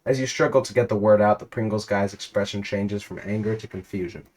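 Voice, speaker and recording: A man with a fairly low voice reads absurd interactive fiction aloud. He tells it theatrically, leaning on the words with emphasis like a storyteller. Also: brisk, darkish tone.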